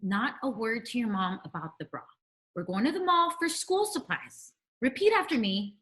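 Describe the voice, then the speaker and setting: A bossy, scheming young teen girl for a sitcom read. The voice is high and very bright, with lively swings in pitch. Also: moderate pace. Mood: bossy, pressed. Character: bossy, scheming thirteen-year-old girl, loyal best friend.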